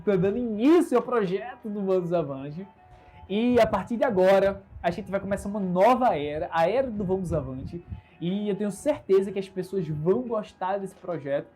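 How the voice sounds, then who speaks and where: Young Recife entrepreneur hosting his own livestream: self-assured, earnest, mellow husky voice, recorded on a phone. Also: high voice. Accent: Recife Brazilian Portuguese. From Recife, Brazil. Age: 20s. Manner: earnest, upbeat, self-assured, gestures a lot. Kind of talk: casual solo livestream talk. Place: bedroom. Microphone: smartphone camera.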